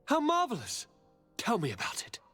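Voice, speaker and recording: A man's voice: very high voice, wildly swooping pitch, moderate pace, bright, forceful tone, husky, heavily acted. An actor playing a charming, eager young nobleman governor in a video game, speaking in a courtly, theatrical and affectionate way. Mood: fascinated, eager. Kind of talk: dramatic video game voice acting. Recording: reverberant hall, music underneath.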